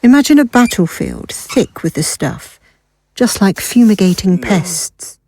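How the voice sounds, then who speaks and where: An actress playing a cold, cruel doctor in an audio drama, composed, clinical and smug. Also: female voice, medium pitch, animated, measured pace, vocal fry, dark tone, breathy edge, exaggerated voice. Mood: smug cruelty. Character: cold, cruel woman doctor serving the villain.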